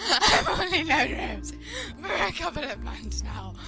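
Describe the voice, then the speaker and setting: High voiced girl reading a visual novel real slow and halting, lots of fry, music behind. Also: big pitch swings. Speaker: guest on a let's play. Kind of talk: reading game text aloud, casual.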